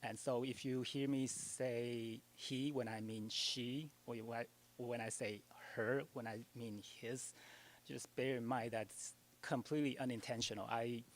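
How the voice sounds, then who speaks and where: A man's voice: mid-range voice, steady tone, a little slow. A middle-aged man from Taiwan with a Taiwanese accent, a translator giving a public talk at a library, speaking hesitantly with many ums and light self-deprecating humor.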